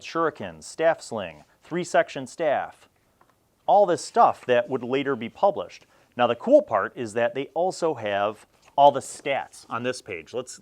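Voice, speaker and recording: A middle-aged man hosting a casual review, chatting informally and thinking aloud with rambling asides. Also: medium pitch. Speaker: host reviewing old gaming magazines.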